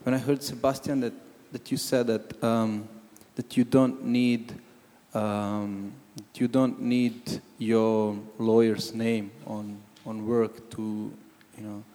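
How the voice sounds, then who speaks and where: A man from Croatia, commenting from the audience at a panel discussion, halting with many restarts. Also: fairly low pitch, little pitch movement, dark tone. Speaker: audience member. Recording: long hall reverb.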